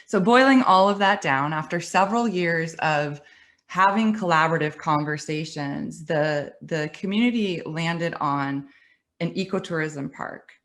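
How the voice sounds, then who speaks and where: A middle-aged woman with a low voice tells her story in a webinar. She speaks conversationally, with restarts and light laughs, over a computer mic. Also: a little slow, a bit bright. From United States. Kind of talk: presentation talk.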